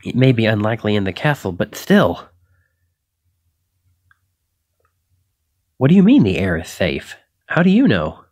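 A man's voice: lowish voice, wildly swooping pitch, brisk, darkish tone, no room sound, studio quality. A man acting a shy, flustered, self-doubting young knight in an ASMR roleplay, stammering and hesitant as he apologizes. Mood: panicked.

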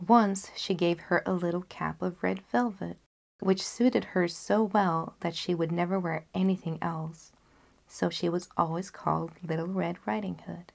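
A young woman narrates a storybook tale expressively. Her voice sits somewhat low, in the manner of a storyteller reading aloud. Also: steady tone, measured pace, vocal fry, darkish tone. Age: young adult.

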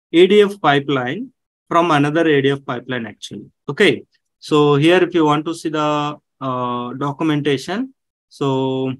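A man in his thirties walking viewers through a software task on screen, step by step, with many "okay" and "so" fillers. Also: on the high side, no room sound, low-quality recording. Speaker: online tech instructor. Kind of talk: screen-share tutorial walkthrough. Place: indoors at a desk.

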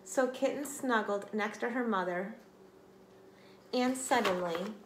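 A woman's voice: on the high side, measured pace. A woman in her forties reading a children's story aloud expressively, like a teacher, recorded in a small room at home. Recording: echoey room, light background noise.

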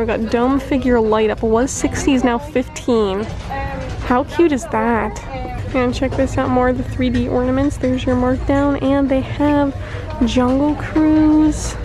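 A woman's voice: high voice, low-quality recording. A young woman filming herself walking through a store on a handheld phone, chatting casually and reading out prices as she gushes over the items. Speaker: vlogger touring an outlet store. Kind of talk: casual shopping walkthrough. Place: indoor retail store. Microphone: handheld phone camera. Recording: store with background music playing.

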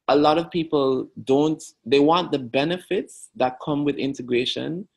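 A young man with an Antiguan accent answering interview questions in a measured, reflective way. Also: medium pitch, vocal fry. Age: young adult. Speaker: podcast guest. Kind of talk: thoughtful interview talk.

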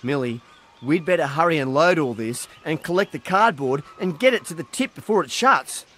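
A woman's voice: low-pitched, expressive, normal speed. A woman voicing Max, a cheerful young farm boy puppet in a children's show. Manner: bright, enthusiastic and upbeat. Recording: studio voice over music.

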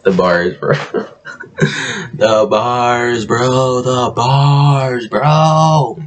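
A man's voice: mid-range voice, drawn-out words. A young man hosting a reaction video from his bedroom, laid-back and chuckling, with a groggy just-woke-up morning voice. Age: young adult. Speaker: reaction channel host. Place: bedroom, indoors.

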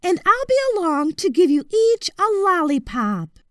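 A woman's voice: extremely high pitch, big pitch swings, normal speed, dry recording, clean studio sound, pitched above the performer's own voice. A woman voicing a courteous, resourceful boy adventurer in a children's storybook, with a persuasive manner. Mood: brisk organising. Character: chirpy, polite, resourceful young boy adventurer.

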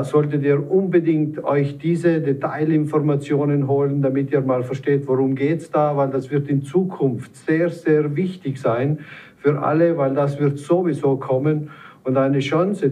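A man in his forties from South Tyrol in Italy, urging listeners in a persuasive sales pitch. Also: fairly even intonation, measured pace, mellow, far from the mic. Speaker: network marketer. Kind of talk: promotional panel talk.

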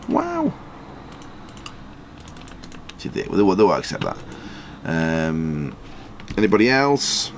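Chatty man playing a game. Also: a little hiss or hum. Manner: chatty, rambling, quick jumps in pitch when surprised. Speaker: gaming channel host. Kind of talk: casual game commentary and comment reading.

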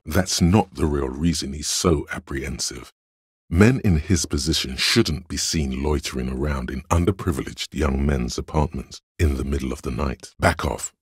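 A cold, reasoning inner voice from a detective video game. It is extremely deep and heavily husky, recorded close and dry. Each deduction comes out measured, cerebral and faintly condescending. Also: male voice, no room sound, exaggerated voice. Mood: firm. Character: cold analytical inner voice of logic in a detective's mind. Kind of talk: video game narration in second person.

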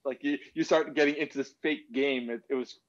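A man's voice: medium pitch, steady tone, fairly quick. A man in his thirties chatting casually in a rambling, sweary way over a video call from home. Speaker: podcast co-host. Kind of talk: casual chat. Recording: slightly dull lo-fi call audio.

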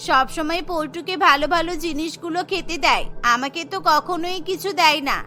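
A woman's voice: very high voice, brisk, pushed and bright, soft background music. A woman voicing an innocent little girl in a cartoon, with a pleading, childlike manner. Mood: hurt pleading. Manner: plaintive, pleading.

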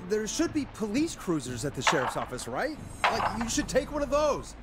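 A man acting a concerned late-night radio DJ in a video game, asking halting, anxious questions. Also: high voice, huge pitch swings, very noisy. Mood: urgent, helpful.

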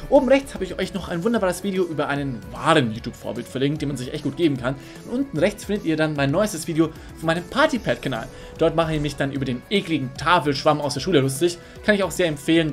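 A young man hosting a commentary video, delivering scripted narration in an indignant, sarcastic, lively way over faint music. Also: on the high side, fast talker, few pauses. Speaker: YouTube commentary host.

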